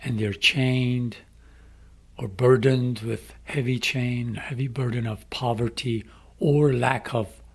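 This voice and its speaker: A middle-aged man with an Iranian accent speaks English slowly and deliberately, leaning on each phrase. His voice is gravelly and somewhat husky. He sounds like he is making an earnest inspirational appeal. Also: mid-range voice. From Iran. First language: Farsi. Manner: slow, deliberate, emphatic phrasing. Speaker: speaker addressing young people.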